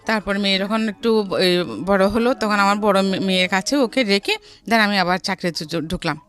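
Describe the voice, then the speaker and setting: A middle-aged woman with a Bangladeshi accent telling her life story in a studio interview, haltingly and often repeating phrases. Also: mid-range voice, a bit bright. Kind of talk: personal storytelling in a radio interview. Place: radio studio. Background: background music.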